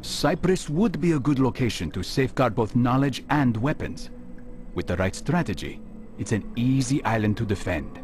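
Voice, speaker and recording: An actor playing a stern, determined medieval assassin in a video game cutscene, speaking in a terse, measured way. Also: male voice, mid-range voice, animated, darkish tone, faint music behind, natural voice. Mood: calm, inquiring.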